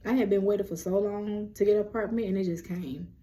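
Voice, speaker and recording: A young woman with a deep voice, hosting a rambling, casual lunchtime chat on her vlog. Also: steady tone, fast, warm tone. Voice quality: low, deep voice. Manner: chatty, rambling, self-described yapper. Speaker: vlog host.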